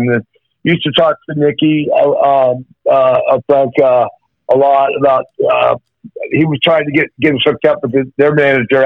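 A man's voice: medium pitch, gravelly, no room sound. Rambling male musician on a dull phone line. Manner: rambling, many restarts and fillers. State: slightly rough voice after rehearsal. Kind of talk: phone interview, reminiscing. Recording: phone call, dull and dry.

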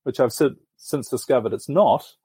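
A middle-aged man tells his story thoughtfully, as if working it out as he speaks. His voice is husky and a little breathy, and it sits mid-range. It is recorded close and dry, with no room sound. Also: medium pitch, moderate pace, dark tone, no echo. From New Zealand. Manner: earnest, thoughtful storytelling with frequent restarts. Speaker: podcast guest. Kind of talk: reflective life story in an interview.

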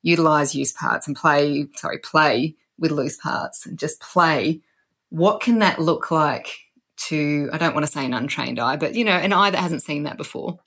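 An Australian woman from South Australia hosting an interview, chatty and quick to laugh, correcting herself as she goes. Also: low voice, fairly bright tone, husky. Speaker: podcast host; educator.

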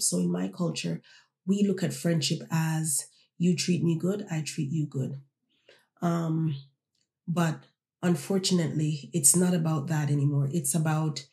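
A podcast host born in Jamaica and raised in Toronto, musing in a loose, conversational way and often turning to the audience with questions. The voice is gravelly and mellow. It sounds like a roomy space with noticeable echo. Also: medium pitch. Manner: reflective, conversational, addresses listeners directly. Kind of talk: casual solo podcast talk.

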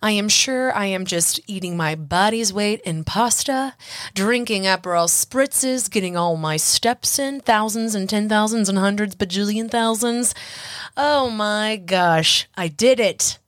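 A young woman hosting a solo podcast, chatty and self-mocking, her voice husky and a little hoarse from congestion. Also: medium pitch, a little slow, no room sound, studio quality. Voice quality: husky, raspy. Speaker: podcast host.

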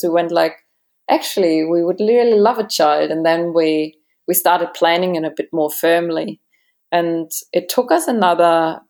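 A woman's voice: on the low side, vocal fry, a little mellow. A woman in her forties with a German accent, telling personal stories conversationally as an interview guest. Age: 40s. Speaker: interview guest, yoga teacher.

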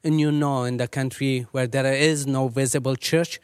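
A man from Afghanistan who leads a ministry, speaking earnestly and measuredly as an interview guest with many pauses and fillers. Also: medium pitch, fairly even intonation, clean tone, dry recording, clean studio sound. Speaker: ministry leader.

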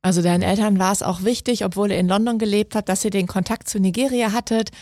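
Woman, podcast host, clear and friendly, dry close studio sound. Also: medium pitch, steady tone, fast, darkish tone, dry recording. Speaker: podcast host and integration course teacher. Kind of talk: podcast interview.

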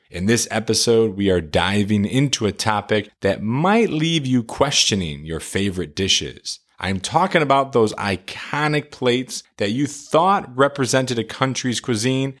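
A man hosting an educational podcast, reading a scripted introduction and outro in an upbeat, announcer-like way. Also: mid-range voice. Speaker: podcast host.

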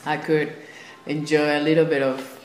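A woman's voice: deep voice, flat delivery, moderate pace, a little mellow. Young woman in an echoey room. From Lima, Peru. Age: young adult. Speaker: podcast guest, traveler. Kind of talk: casual personal storytelling. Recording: roomy echo with faint ambient noise.

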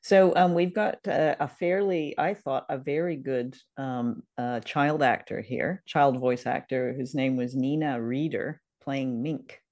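A woman's voice: rumbling low, steady tone, a little slow, few pauses, darkish tone. A woman podcast host casually discussing old radio drama.

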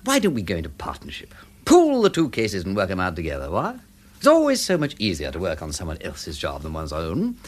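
A man playing a breezy upper-class English gentleman detective in a radio drama, jaunty and drawling with period slang. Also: low voice, wildly swooping pitch, a little fast, heavily acted. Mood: breezy, cheerful.

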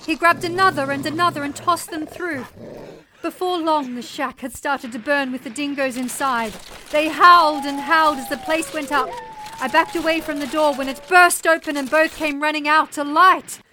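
A woman's voice: mid-range voice, normal speed, slight background noise, close to an everyday voice. A woman playing a resolute young settler mother, telling her story in intense, dramatic first-person narration. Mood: breathless urgency. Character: resolute young settler mother recounting a wild dog attack.

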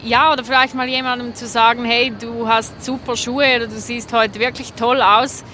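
A woman's voice: on the high side, little pitch movement, moderate pace, sharp tone. A woman with a Swiss accent giving spontaneous, reflective answers as a street interviewee. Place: outdoors on an urban street. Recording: clear traffic noise behind voice.

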